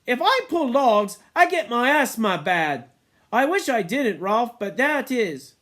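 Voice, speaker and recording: A man's voice: very high voice, very animated, unhurried, fairly bright tone, voice pushed up, full character voice. A man voicing an anxious, fussy schoolboy with asthma, pleading and insistent. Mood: whiny.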